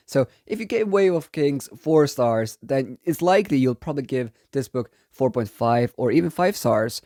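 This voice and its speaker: A man's voice: medium pitch, darkish tone, no room sound. Young guy with a cold doing a chatty book review, dry close sound. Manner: enthusiastic, chatty, repeats himself. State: has a cold. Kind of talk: casual book review vlog.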